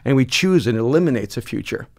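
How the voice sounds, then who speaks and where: A man in his sixties, born in El Salvador, speaking as a pastor and author guest on a podcast. He tells stories reflectively, with quick bursts of speech that slow down for emphasis. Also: mid-range voice, expressive, a little mellow. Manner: expansive, gestures a lot, quick bursts then slow emphasis. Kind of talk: reflective conversational storytelling. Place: dark podcast studio. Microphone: studio mic on a boom, close.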